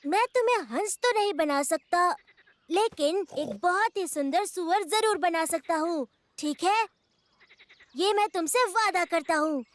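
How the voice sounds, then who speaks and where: A woman's voice: extremely high pitch, a little slow, slight background noise. A woman voicing a bossy but well-meaning young boy animal cub in a children's cartoon, blunt and childlike. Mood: determined, reassuring.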